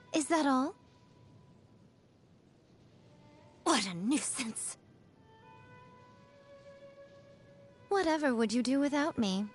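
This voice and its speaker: A sly, teasing fox spirit priestess. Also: female voice, fairly high pitch. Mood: commanding, amused. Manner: playful, haughty, drawling, theatrical. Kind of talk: scripted video game character voice lines.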